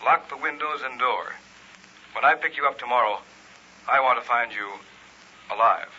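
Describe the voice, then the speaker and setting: An actor in an old-time radio drama playing a concerned, measured doctor who advises a friend. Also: male voice, mid-range voice, lightly acted. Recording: muffled, noisy vintage radio recording.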